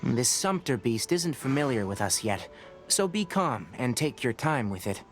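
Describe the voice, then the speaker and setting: An actor playing a calm, scholarly young forest ranger in a video game, measured and patient as he explains. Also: medium pitch, over music, natural voice. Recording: game audio with clear ambience.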